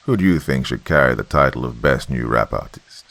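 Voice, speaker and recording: A man's voice: very deep voice, animated, a little fast, gravelly, a bit bright, no room sound, pitched below the performer's own voice, full character voice. A man voicing a short, stocky, confident Indian machine gunner, easygoing and boastful, in a military story.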